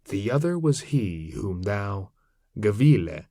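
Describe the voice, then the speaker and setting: A man reading classic epic verse aloud as a narrator, in a measured, declamatory manner. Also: fairly low pitch, very animated, dark tone, no room sound, studio quality. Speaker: reader of classic poetry. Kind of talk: literary poetry narration, read aloud.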